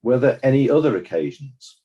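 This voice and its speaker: Man playing mellow, formal inquest examiner. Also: mid-range voice, expressive, muffled recording, natural voice. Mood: formal, probing. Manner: formal, measured questioning. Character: formal, probing inquest examiner questioning witnesses. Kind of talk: dramatized courtroom questioning.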